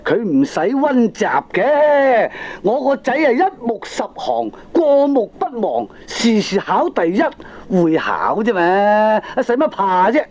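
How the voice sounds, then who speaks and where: A man's voice: high-pitched, sing-song, takes it slowly, a little mellow, exaggerated voice. A man playing a feckless, defensive middle-aged gambling husband in a comedy radio drama, blustering in Hong Kong Cantonese with exasperated interjections. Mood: boastful. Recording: dull old cassette radio drama recording.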